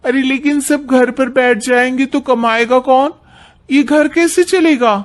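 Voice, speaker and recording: A man puts on a young wife from a family cartoon. The voice is high and lifted, with pleading exclamations delivered at a slow pace. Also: steady tone. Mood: alarmed. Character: young married daughter-in-law in a family cartoon.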